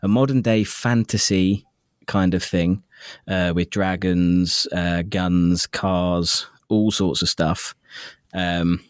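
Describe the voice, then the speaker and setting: A man's voice: low voice, fairly even intonation, slow pace, clean tone, dry recording, studio quality. A man who narrates audiobooks, chatting casually as an interview guest in a self-deprecating, jokey and sometimes sweary way.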